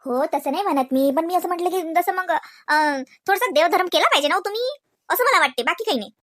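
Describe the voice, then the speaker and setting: Cartoon mom, woman performer: piercingly high, fast-talking, persuasive nag, rural Vidarbha accent. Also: expressive, a bit bright, dry recording, studio quality. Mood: gently persuading. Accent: rural Marathi of Vidarbha. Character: nagging, devout young mother of twins. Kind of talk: comedy cartoon dialogue.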